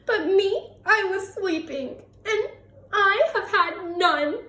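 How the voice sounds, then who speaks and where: A woman's voice: very high voice, expressive, takes it slowly, pushed and bright, pitched above the performer's own voice, exaggerated voice. A woman voicing a big grumpy storybook bear who is sulking and self-pitying, with a whimpering, blubbering delivery. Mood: tearful, aggrieved. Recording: roomy echo, distant from the mic.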